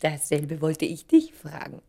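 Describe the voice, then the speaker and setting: A poised society lady in a German radio play. Her voice is on the low side and husky, with animated intonation. She is courteous yet cool, always probing with pointed questions. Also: a little slow, exaggerated voice.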